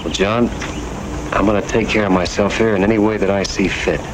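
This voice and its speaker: Laconic, low-voiced rancher, played by a man. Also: fairly even intonation, fairly quick, natural voice. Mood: defiant, guarded. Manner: terse, guarded, dry. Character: wary, independent, laconic western rancher. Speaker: actor. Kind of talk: mid-century western drama acting. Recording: noisy old western soundtrack.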